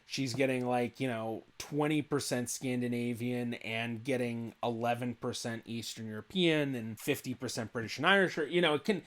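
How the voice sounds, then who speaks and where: A young adult man, a genealogist, explaining test results in a relaxed, thinking-aloud commentary. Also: medium pitch, moderate pace, hardly any pauses. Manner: thinks aloud, hedging with "I feel like". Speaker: genealogist reacting to a video.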